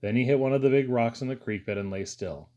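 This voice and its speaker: A man's voice: medium pitch, fast talker, dark tone, lo-fi sound. A man reading a first-person story aloud as an audiobook narrator. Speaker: audiobook reader.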